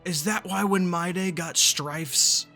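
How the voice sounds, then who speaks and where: A male gamer with a bright, punchy voice reacts casually to a story game. He thinks aloud, excitable and free with swearing, over faint background music. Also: high voice, slow.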